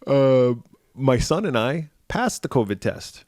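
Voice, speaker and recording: A man in his forties, hoarse and raspy after being ill, co-hosting a podcast in casual chat, rambling with fillers and restarts. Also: mid-range voice, big pitch swings, slow pace, a little mellow, no echo, clean studio sound. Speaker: podcast co-host.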